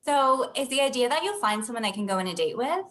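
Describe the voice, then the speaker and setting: A woman's voice: a little high, big pitch swings, quick pace, a bit bright. A woman playing a plain-spoken thirty-year-old professional with a questioning, conversational manner in a comedy table read. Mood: friendly, casual.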